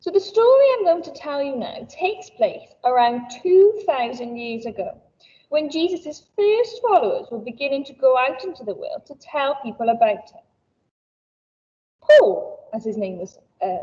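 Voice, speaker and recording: A woman's voice: sits high, very animated, normal speed, dark tone. A woman teacher giving a recorded school assembly, cheerful and encouraging as she talks to children. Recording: dull lo-fi sound, a bit distant.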